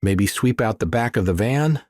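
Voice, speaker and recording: A man's voice: fairly low pitch, expressive, normal speed, darkish tone, dry recording, studio quality, voice pushed up. A man voicing an upbeat, joking gearhead who talks in a casual, teasing way, as an audiobook character.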